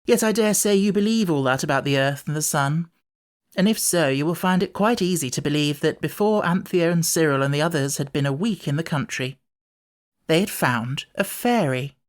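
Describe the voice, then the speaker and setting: A man's voice: a little high, moderate pace. A man reading a children's audiobook as scripted storybook narration.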